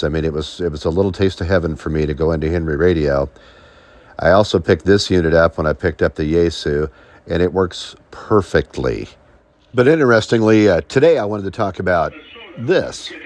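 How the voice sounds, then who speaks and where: A man's voice: deep voice, slight background noise. An American man in his fifties giving plain-spoken, folksy how-to narration as a hobbyist video host. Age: 50s.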